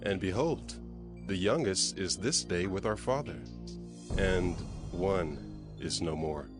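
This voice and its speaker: An actor playing a grave, earnest eldest brother, a humble foreigner in a dramatized scripture recording. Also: male voice, sits low, lively intonation, slow pace. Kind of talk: dramatized scripture audio acting. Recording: music behind the voice.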